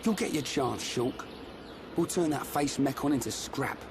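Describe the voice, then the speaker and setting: An actor playing a hearty, loyal young fighter in a video game. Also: male voice, mid-range voice, normal speed, natural voice. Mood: encouraging. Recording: clear ambient noise behind.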